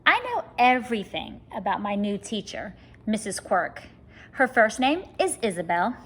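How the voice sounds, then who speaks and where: A woman reading a children's story aloud like a teacher, expressive and speaking straight to young listeners. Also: medium pitch, moderate pace, husky. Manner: expressive, addressing children directly.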